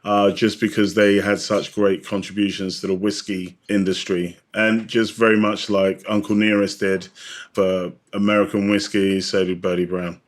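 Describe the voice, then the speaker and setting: Low-voiced man in a podcast interview. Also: flat delivery, normal speed. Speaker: podcast guest, drinks industry advocate. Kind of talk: conversational interview, storytelling.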